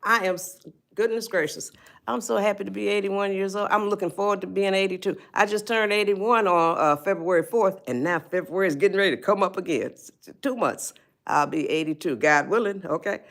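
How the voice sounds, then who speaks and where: A woman's voice: medium pitch, brisk, fairly bright tone. A woman in her eighties hosting a live stream, talking to viewers in a chatty, folksy way and calling them y'all. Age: 80s. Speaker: livestream host. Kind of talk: casual livestream talk.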